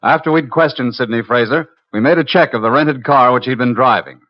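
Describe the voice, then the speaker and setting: Guy playing businesslike fbi man, medium pitch, firm and terse, old radio show, dull sound. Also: fairly quick, soft background music, lightly acted. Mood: matter-of-fact. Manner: terse, matter-of-fact, authoritative. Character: calm, businesslike male FBI agent, firm narrator. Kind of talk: old-time radio crime drama acting and narration. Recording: muffled old radio broadcast recording.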